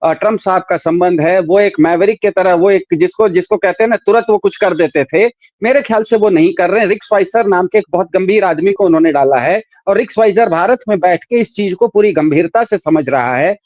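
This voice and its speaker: A man with a fairly high voice talks through politics off the cuff, explaining step by step and repeating points for emphasis. The recording is slightly dull and lo-fi, with little room sound. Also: a little fast, hardly any pauses, darkish tone, no room sound. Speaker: political commentator.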